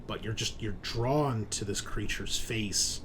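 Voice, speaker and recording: A man hosting as game master, improvising narration and chat in a deliberate, suspenseful storyteller's way. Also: lowish voice, noisy background.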